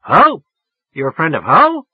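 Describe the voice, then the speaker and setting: A man's voice: a little high, very animated, a little slow, gravelly, husky, no room sound, narrow, tinny audio, voice pushed up, full character voice. A man reading an audiobook, voicing a brash, irritable woman woken late at night who snaps suspiciously and then turns sweet and affected. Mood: snappish. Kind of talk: audiobook character voice.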